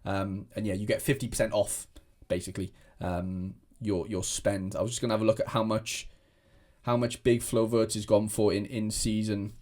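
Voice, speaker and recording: A man's voice: fairly low pitch, flat delivery, normal speed, a little mellow. Rambling young man. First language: Welsh. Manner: rambling, many restarts and fillers. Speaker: fantasy football content creator. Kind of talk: casual chat to camera.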